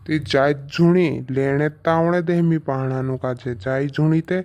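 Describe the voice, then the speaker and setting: A village father in a cartoon comedy , voiced by a man, with a fairly high-pitched voice. He speaks as the head of a rural household in everyday dialogue. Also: fairly even intonation, normal speed, darkish tone, dull audio, natural voice. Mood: questioning.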